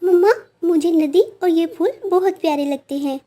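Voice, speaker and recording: A woman plays a little schoolboy bird in a children's cartoon, very high and soft-toned. The young bird is sweet and earnest, an eager child who talks to please. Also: moderate pace. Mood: eager, cheerful. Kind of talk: children's cartoon story acting.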